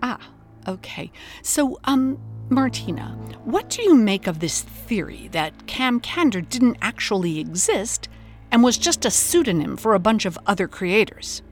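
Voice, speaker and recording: A woman's voice: medium pitch, very animated, measured pace, soft background music. A woman podcast host, delivering scripted investigative narration and interview questions.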